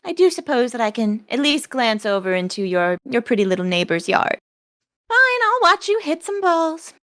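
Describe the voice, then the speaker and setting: A vain, pushy young debutante, as a woman puts her on, speaks in prim, mock-formal phrases. Her voice sits high and swoops dramatically. The sound is close and dry. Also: very animated, normal speed, fairly bright tone, no echo. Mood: haughty.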